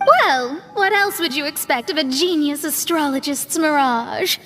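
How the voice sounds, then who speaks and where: A woman's voice: high voice, expressive, unhurried, fairly bright tone, faint music behind. A woman voicing a proud, easily embarrassed young genius astrologer, haughty and flustered, in an English game dub. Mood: smug.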